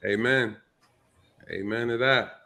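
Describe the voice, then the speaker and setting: A man's voice: mid-range voice, fairly even intonation, slow pace, sharp tone. A man in his thirties moderating a casual panel discussion, talking loosely and joking, with plenty of fillers. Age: 30s.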